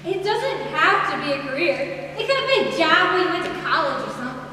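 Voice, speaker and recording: A teenage girl acting an eager, naive, inquisitive woman in a high school stage play, earnest and persistent. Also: very animated, a little fast. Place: high school stage, audience camera far away. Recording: noisy echoey hall, recorded from far off.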